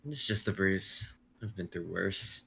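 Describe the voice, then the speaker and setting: A man in a live dramatic reading playing a shaken father, speaking slowly. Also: low voice, creaky, sharp tone, slightly rough. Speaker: actor in a reading.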